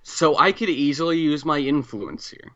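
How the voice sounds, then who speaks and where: A man's voice: fairly high pitch, lively intonation, normal speed, sharp tone. A man chatting casually as a tabletop game player, on a dull, lo-fi recording. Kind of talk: casual chat.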